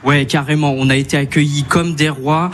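A man's voice: a little high, fairly even intonation, normal speed, a bit bright. Young guy sax player on festival radio, keen, a few stumbles, faint crowd hum behind. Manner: enthusiastic, hesitant. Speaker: saxophonist guest. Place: outdoor festival stage.

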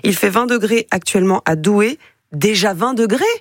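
A woman's voice: medium pitch, normal speed, slight background noise. A woman hosting a regional radio show from a studio, chatting casually and greeting listeners by name. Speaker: radio host. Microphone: studio microphone.

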